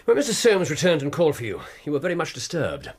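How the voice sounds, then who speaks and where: A commanding English detective in a radio play. His voice is husky and highly animated in pitch. He questions incisively, with deliberate, authoritative phrasing. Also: exaggerated voice. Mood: interrogating. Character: shrewd, commanding male private detective, probing and brisk. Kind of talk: radio drama acting.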